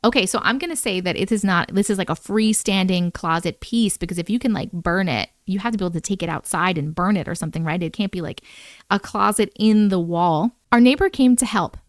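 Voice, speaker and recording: A woman in her thirties reading viewer-submitted scary stories aloud in a bedroom, breaking off for chatty, animated comments. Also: medium pitch, a little fast, no room sound. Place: bedroom, indoors. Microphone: large studio mic on boom in front of her.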